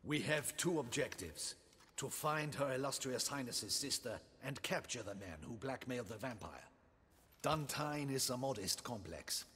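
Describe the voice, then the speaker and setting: An actor playing a proud military guard captain in a video game, stiff, precise and formal. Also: male voice, medium pitch, lively intonation, normal speed, a bit bright, husky, big-room sound. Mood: briskly formal. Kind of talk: video game cutscene dialogue.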